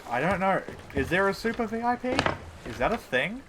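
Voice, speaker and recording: Man streaming a game over loud noise. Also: sits high, very animated, a little fast. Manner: playful, teasing banter with chat. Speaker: live streamer. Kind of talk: casual gameplay stream chat.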